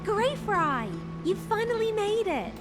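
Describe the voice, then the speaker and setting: Measured, loyal lady knight. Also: extremely high pitch, big pitch swings, long reverb tail, full character voice. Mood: formal, composed. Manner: formal, measured. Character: loyal, courteous woman knight of the sea. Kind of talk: dramatic anime game acting. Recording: faint background music.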